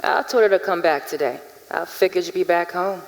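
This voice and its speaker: An actress playing a wary, loyal enslaved wife and house servant in a stage drama, firm and probing. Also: female voice, lowish voice, a little fast, natural voice. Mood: probing, knowing. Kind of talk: theatrical stage drama acting. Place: large indoor theater stage. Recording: reverberant theater with music underneath.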